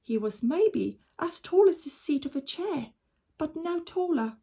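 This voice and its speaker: A woman reading a children's story aloud as a teacher would, in a measured, expressive storytelling manner. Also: high-pitched.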